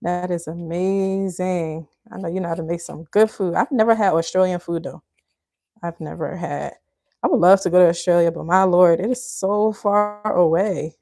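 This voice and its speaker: Woman from Liberia, live cooking host, chatty and laughing, lowish voice, dry room. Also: moderate pace, dark tone, dry recording. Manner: chatty, addresses viewers as y'all, laughs often. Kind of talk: live cooking stream chat.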